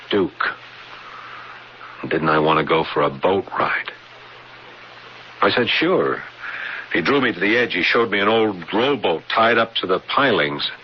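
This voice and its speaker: Radio play: troubled man telling a boyhood memory, low register, halting and repetitive, dull noisy vintage sound. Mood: uneasy, halting. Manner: halting, stammering, repeating words. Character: haunted man recounting a frightening boyhood memory. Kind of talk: radio drama acting.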